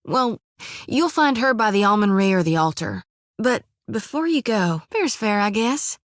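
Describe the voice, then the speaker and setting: An actress voicing a folksy, earnest young priestess of a death god in a video game, with a drawl, rambling and plainspoken. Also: female voice, mid-range voice, very animated, a little slow, no room sound, clean studio sound.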